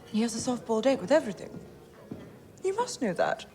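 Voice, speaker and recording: A woman's voice: fairly high pitch, very animated, fairly quick, mellow. An actress playing a condescending, breezy upper-class English woman, a prince's worldly older lover, in a screen drama.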